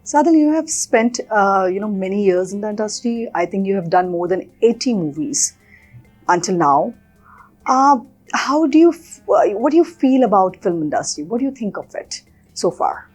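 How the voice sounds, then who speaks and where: A woman with an Indian accent hosts a casual interview. Her voice sits at an average pitch for a woman, and she talks in a conversational way with faint music in the background. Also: expressive, normal speed, darkish tone, slightly rough. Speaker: talk show host.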